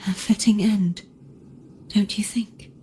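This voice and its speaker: A woman playing a gentle, resigned, self-sacrificing young woman in a video game, speaking softly and breathily with solemn, wistful deliberation. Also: medium pitch, steady tone, slow, slight background noise, lightly acted. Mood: sorrowful, at peace. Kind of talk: dramatic video game voice acting.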